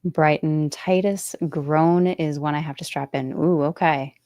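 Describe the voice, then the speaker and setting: A woman's voice: low-pitched, steady tone, a little slow, darkish tone, no room sound, studio quality. A woman hosting a casual interview and chat about books. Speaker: host of a book interview show.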